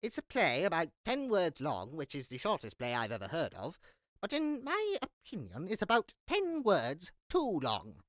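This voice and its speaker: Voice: mid-range voice, huge pitch swings, moderate pace, fairly bright tone, dry recording, studio quality. A performer playing a fussy, scornful master of revels to a duke in a Shakespeare audio drama, with a theatrical, disdainful manner. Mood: scornful, amused.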